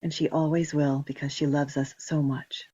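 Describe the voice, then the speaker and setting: Breathy woman guiding a meditation, muffled recording. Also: deep voice, level tone, dry recording. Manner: slow, gentle instruction with long pauses. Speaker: meditation guide. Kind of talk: guided meditation.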